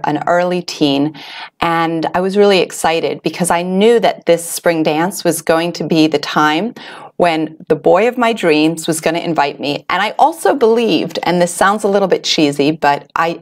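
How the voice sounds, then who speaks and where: A middle-aged woman from Switzerland hosting a webinar, telling a personal story in an earnest, confiding way with fillers and restarts. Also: deep voice. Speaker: webinar host. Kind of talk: personal story told to an online audience.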